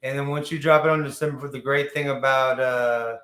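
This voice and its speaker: A man's voice: medium pitch, fairly even intonation, fairly quick, a bit bright. Rambling, slangy man in an echoey room. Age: early 40s. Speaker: podcast host. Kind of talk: casual chat interview. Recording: echoey, roomy sound.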